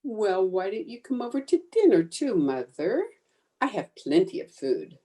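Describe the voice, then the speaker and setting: A woman playing an excitable, scheming housewife in an old-time radio sitcom, with a theatrical, gushing manner. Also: mid-range voice, normal speed. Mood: smug. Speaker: amateur radio player.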